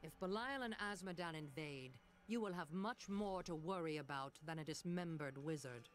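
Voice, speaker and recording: A woman's voice: low voice, lightly acted. Woman as grave, commanding witch. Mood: urgent, commanding. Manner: ominous, deliberate, portentous. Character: mysterious, grave middle-aged witch, coolly commanding. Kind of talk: fantasy video game voice acting. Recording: music behind.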